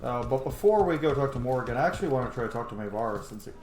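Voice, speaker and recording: A man's voice: mid-range voice, lively intonation, racing, darkish tone, reverberant, a little hiss or hum. A man giving casual, reactive commentary while playing through a game, chatty and informal. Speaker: gamer, channel host.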